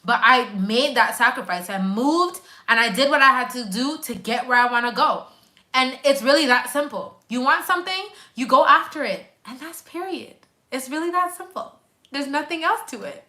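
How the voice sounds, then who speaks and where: A woman's voice: high-pitched, animated, moderate pace, pushed and bright. A woman in her twenties, a nurse hosting a casual live stream, chatting animatedly and talking straight to her viewers while reading out their comments. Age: 20s. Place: at home on a couch.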